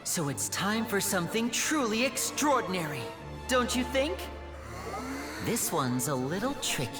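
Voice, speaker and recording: A woman's voice: medium pitch, sing-song, unhurried, over music. A woman voicing a charming, polite young male stage magician with theatrical showman patter in a video game. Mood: showy. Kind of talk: video game cutscene acting.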